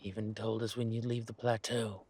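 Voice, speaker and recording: A man voicing a rough, cagey hired brigand who talks in a laconic, folksy, evasive way in a fantasy audio drama. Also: on the low side, little pitch movement, moderate pace, darkish tone. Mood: wary confession.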